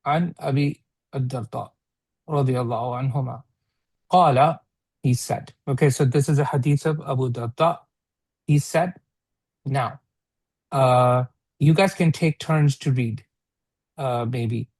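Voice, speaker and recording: A man teaching an informal interactive read-along class, explaining phrase by phrase in a halting way and often checking for understanding. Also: medium pitch, a little mellow, no echo. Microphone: online video call.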